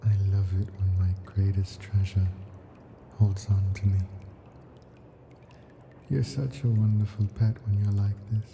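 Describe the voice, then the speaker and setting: A man playing an ancient, possessive and imperious yet doting male dragon in an intimate ASMR roleplay, murmuring deliberately and sometimes dropping to a whisper. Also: lowish voice, level tone, vocal fry, noisy background. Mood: tender, contented. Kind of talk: ASMR roleplay, slow intimate character acting.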